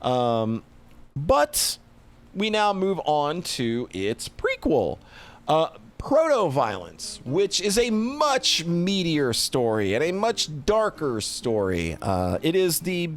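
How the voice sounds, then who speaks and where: A man's voice: on the high side, wildly swooping pitch, slow. A man giving a casual, unscripted review as a streamer, often repeating and restarting phrases as he thinks aloud.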